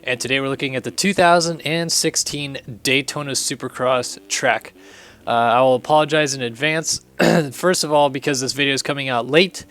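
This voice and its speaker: A young man hosting a casual video walkthrough, his voice hoarse and raspy from having lost it, chatty and upbeat. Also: mid-range voice, fairly even intonation, moderate pace, fairly bright tone. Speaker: host. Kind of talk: casual game track walkthrough commentary.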